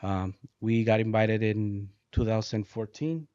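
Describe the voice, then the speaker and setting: A man from Mexico in his forties, a guest engineer speaking softly and hesitantly, with many fillers. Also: on the low side, a little slow, no echo. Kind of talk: casual interview talk. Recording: dull dry podcast audio.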